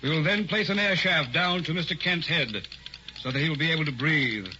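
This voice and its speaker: An actor playing a smooth, sinister male carnival hypnotist and showman in a 1940s radio drama, with oily, theatrical barker patter. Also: a little high, a little fast, sharp tone, slight background noise. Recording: dull old radio recording with music.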